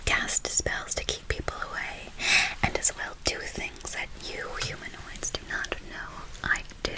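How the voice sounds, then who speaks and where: A woman playing a kindly, mysterious forest witch in a slow, breathy whisper, for an ASMR role play with soothing repeated words and quaint phrasing. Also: close to an everyday voice, low-quality recording. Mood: soothing, gently boastful. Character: kindly, mysterious female forest witch casting good-luck spells.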